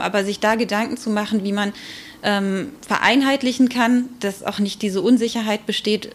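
A woman giving informal expert answers in an interview, speaking thoughtfully and with frequent hesitant pauses. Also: mid-range voice.

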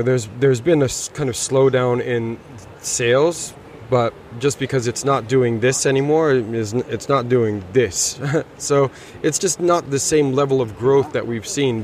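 A man's voice: medium pitch, darkish tone, slight background noise. A man casually talking about cars on a motor show floor, rambling a little with restarts. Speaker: car show reviewer. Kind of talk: casual walkaround chat. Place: busy motor show hall.

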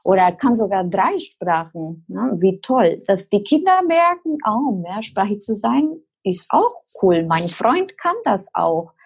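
Woman guest, Spanish accent, slow and husky, careful explaining with restarts. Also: medium pitch, sing-song. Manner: explains slowly with many fillers and restarts. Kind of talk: interview answer.

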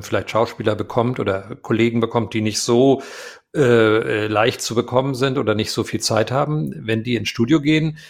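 A man in his fifties, a voice actor as an interview guest, answering at length with many hesitations and fillers. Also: lowish voice.

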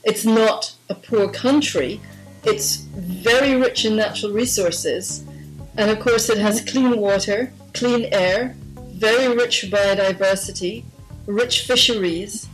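A woman's voice: medium pitch, takes it slowly, faint music behind, rough recording. A woman from Guyana, an environmental activist, talking firmly and conversationally in a phone interview, with small restarts.

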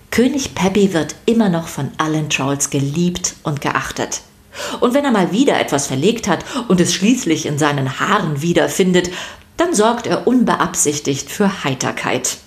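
A woman narrates a scripted story for children. Her voice sits a little low, and her delivery is expressive and playful.

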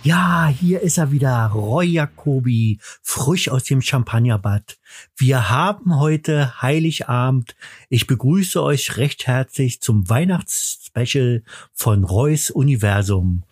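A man's voice: mid-range voice, lively intonation, slow pace, a little mellow, husky, no room sound, studio quality. A man hosting a podcast in casual chat, stumbling over his words and poking fun at himself. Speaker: podcast host.

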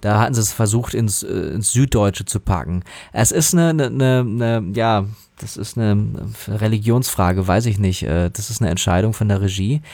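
A man who works as a dubbing actor, talking casually and thoughtfully about his craft with many pauses and restarts. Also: a little mellow, no echo. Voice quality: relatively high voice. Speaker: voice actor guest. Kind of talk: casual interview talk.

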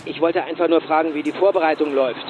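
Actor as distracted boss on the phone, highish male voice, brisk n hearty, noisy vehicle around him, radio play. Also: steady tone, narrow, tinny audio, close to an everyday voice. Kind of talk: naturalistic fiction podcast acting.

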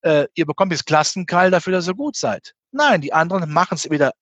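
A man's voice: fairly high pitch, expressive, brisk, a bit bright, dry recording, dull audio. A man from the Rhineland giving his opinion as an interview guest, speaking emphatically and argumentatively with many rhetorical questions. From Rhineland, western Germany near the Belgian and Dutch border. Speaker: interview guest commenting on economics and finance. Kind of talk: animated spoken opinion in an interview.

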